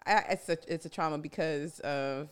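A woman's voice: moderate pace, clear, resonant tone. A woman, co-hosting a podcast in frank, teasing casual chat. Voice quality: deep voice. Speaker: podcast co-host.

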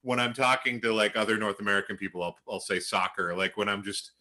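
A man's voice: lowish voice, fast talker, sharp tone. A man in his thirties from Canada, hosting a podcast, rambling, self-deprecating and laughing a lot. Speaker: podcast host. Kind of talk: casual podcast chat.

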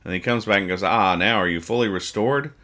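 A man hosting a podcast, giving casual comic commentary with mock-serious, exasperated deadpan nitpicking. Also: lowish voice, brisk. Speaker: podcast host. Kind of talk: comedic casual commentary reading comic strips aloud.

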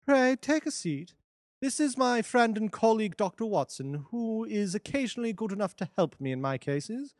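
A man reading a classic detective story, voicing a sharp-witted gentleman detective who talks crisply and with command. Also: sits high, very animated, moderate pace, no echo, studio quality, voice pushed up. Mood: brisk, assured. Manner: crisp, commanding, dryly amused. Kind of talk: audiobook character voice.

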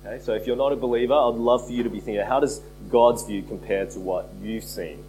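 A man preaching a sermon as pastor, hoarse from a cold, earnest and repeating key phrases for emphasis. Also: mid-range voice, fast talker, mellow, faint music behind, low-quality recording. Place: church.

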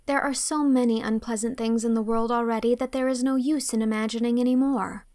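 A woman voicing an earnest, imaginative young woman who gently scolds a child. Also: fairly high pitch, steady tone, a little fast, no room sound, studio quality, lightly acted.

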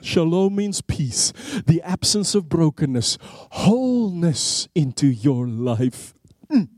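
A man evangelist preaching a sermon to a congregation, emphatic and repetitive, asking rhetorical questions and dropping his voice low on key phrases. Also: sing-song, slow, darkish tone, no room sound, clean studio sound. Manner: emphatic, repeats words, swings between rising and low dropped phrases; explains Hebrew names of God with rhetorical questions.

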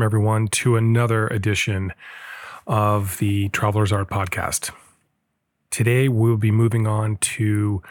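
Guy hosting a travel podcast, kinda low voice, rambles thoughtfully, super dry close sound. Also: dry recording. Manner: thoughtful, meandering, with restarts and self-corrections. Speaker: podcast host. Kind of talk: casual podcast monologue and personal storytelling.

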